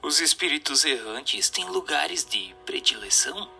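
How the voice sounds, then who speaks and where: A man's voice: a little high, lively intonation, unhurried, gravelly, sharp tone. A man with a Brazilian accent reading scripted questions as a narrator. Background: music.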